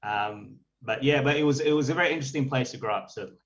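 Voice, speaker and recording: A priest in his forties, born in Vietnam and raised in Australia, speaking haltingly with many fillers as a podcast guest. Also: mid-range voice. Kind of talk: podcast interview. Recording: dull lo-fi audio.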